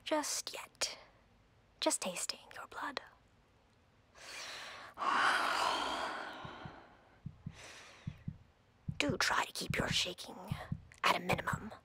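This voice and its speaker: A woman playing an imperious, coldly flirtatious drider queen in a roleplay audio, breathy and whispery, speaking haughtily and deliberately with playful menace. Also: mid-range voice, expressive, bright, forceful tone, full character voice. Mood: savoring, teasing.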